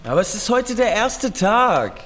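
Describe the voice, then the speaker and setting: An actor playing a slangy, sarcastic, whining seventeen-year-old schoolboy in a radio play. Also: male voice, high voice, very animated, measured pace, fairly bright tone, a little hiss or hum, exaggerated voice. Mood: whining protest. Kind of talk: German radio play acting.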